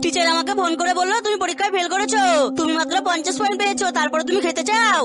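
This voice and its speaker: A woman's voice: very high-pitched, steady tone, rapid-fire. A woman voicing young characters in a kids' cartoon, speaking simply and emphatically. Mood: scolding. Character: chirpy children and mothers in a kids' cartoon. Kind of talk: cartoon dialogue. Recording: music behind the voice. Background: cartoon music.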